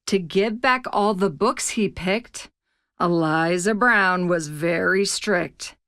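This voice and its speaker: A woman narrating a rhyming children's picture book as a read-aloud host, with a measured, expressive storytelling delivery. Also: fairly low pitch, no room sound, clean studio sound.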